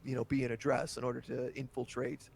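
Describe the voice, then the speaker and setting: A man's voice: medium pitch, level tone, fast talker, vocal fry, a little mellow, a little hiss or hum. A man guesting on a podcast, talking candidly in casual chat and breaking into laughter easily.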